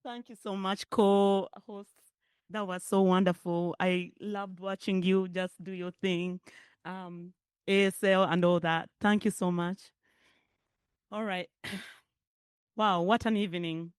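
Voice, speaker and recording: A middle-aged woman from Uganda with a Ugandan accent, speaking warmly and chattily at a public forum and laughing often. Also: medium pitch, little pitch movement, takes it slowly, pauses often, clear, resonant tone, no room sound, clean studio sound. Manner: warm, emotional, chatty asides with frequent laughter. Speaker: guest speaker, parent advocate. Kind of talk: personal speech at a public forum. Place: hotel ballroom event.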